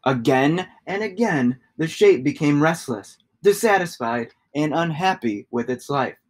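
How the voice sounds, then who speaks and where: A man, giving an expressive read-aloud of a children's story. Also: fairly high pitch, a little slow. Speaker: storybook reader.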